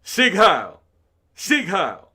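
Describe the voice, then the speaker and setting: A man voicing an angry, stocky homeless man who yells taunting accusations. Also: sits high, huge pitch swings, extremely slow, breathy edge, pitched above the performer's own voice, exaggerated voice. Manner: loud, taunting shouting.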